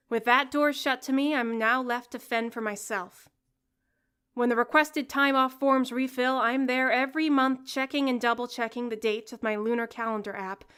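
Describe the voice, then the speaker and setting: An actress playing a jaded, sardonic fast-food worker in her early twenties, dry and wry, in an audio drama. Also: a little high, a bit bright, smooth, natural voice. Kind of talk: first-person audio drama narration and dialogue.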